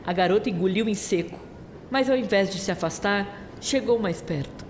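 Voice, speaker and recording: A woman's voice: mid-range voice, normal speed, noisy background. A woman narrating a scripted story as part of an audio drama. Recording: long reverberant hall-like echo. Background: clear music bed.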